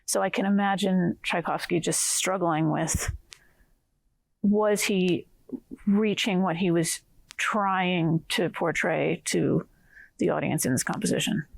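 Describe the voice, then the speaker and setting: Female musician in casual interview, reflective, creaky voice, close dry sound. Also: fairly low pitch, fairly even intonation, slow pace, dry recording, rough recording. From New Jersey, United States. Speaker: orchestra principal flutist, guest. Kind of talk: casual conversation about classical music.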